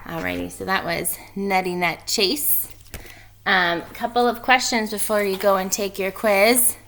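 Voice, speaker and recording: Bright, creaky woman reading to kids. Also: mid-range voice, steady tone, unhurried, a little hiss or hum. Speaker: teacher reading aloud to pupils. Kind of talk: expressive children's storybook read-aloud and informal instruction.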